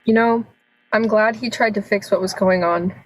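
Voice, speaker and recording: A woman plays a bereaved, forgiving woman in a radio drama. Her voice is of middle pitch. She sounds weary and consoling. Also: fairly even intonation, moderate pace, natural voice, rough recording. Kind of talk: radio play acting.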